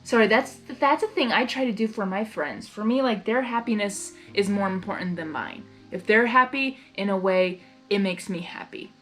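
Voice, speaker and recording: A woman reading fan fiction aloud as narrator, expressive and excitable, with casual reactions. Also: mid-range voice, moderate pace, few pauses, soft background music.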